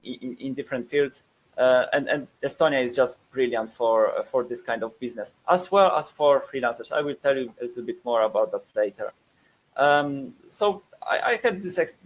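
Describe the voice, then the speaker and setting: A man's voice: mid-range voice, rough recording. A man in his thirties from Poland, speaking with a Polish accent, presents a webinar with many fillers and repeated words.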